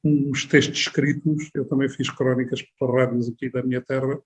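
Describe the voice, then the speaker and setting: A man's voice: medium pitch, little pitch movement, normal speed. A man from the Alentejo in Portugal with an Alentejo accent, talking at length as a guest in a radio interview, with many restarts and rhetorical questions. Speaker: regional tourism board president, guest. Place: radio studio.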